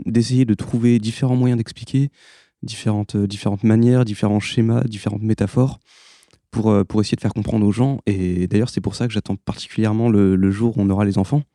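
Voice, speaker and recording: Earnest young man, dark voice. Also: fairly low pitch, no room sound, studio quality. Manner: earnest, reflective, list-like phrasing. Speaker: student. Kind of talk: interview answers.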